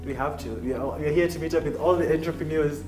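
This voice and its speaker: A Kenyan man hosting a business interview show, chatty and quick to laugh at his own jokes. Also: on the high side. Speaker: show host. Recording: echoey room with music behind.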